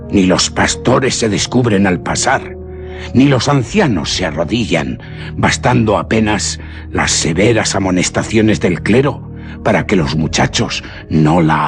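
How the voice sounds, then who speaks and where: A grave radio-theatre narrator with a low, creaky voice. He tells a dark legend in a solemn, deliberate, ominous manner. Music plays clearly beneath him. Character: grave male storyteller of an old devil legend, solemn and ominous.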